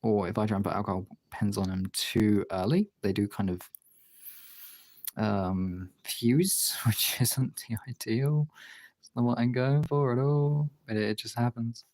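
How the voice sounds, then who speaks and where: A man's voice: fairly low pitch, measured pace, darkish tone. A man talking in an informal, rambling way, thinking aloud as he gives a casual art demonstration as a streamer. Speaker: streamer, artist. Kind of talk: casual chat while demonstrating drawing.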